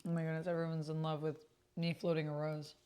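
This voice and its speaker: A woman streamer chatting casually and reading typed roleplay aloud, sometimes halting as she types along. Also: low-pitched, monotone, mellow, smooth. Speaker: streamer playing an online roleplaying game.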